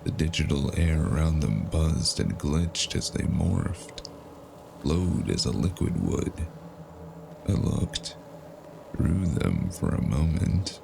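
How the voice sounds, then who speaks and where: An actor playing a grim, weary cyberpunk man who narrates his story in clipped, brooding noir phrases with a gravelly voice. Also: extremely low pitch, expressive, takes it slowly, noisy background, heavily acted. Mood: brooding dread. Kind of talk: scripted first-person noir narration. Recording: loud music under the voice. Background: music.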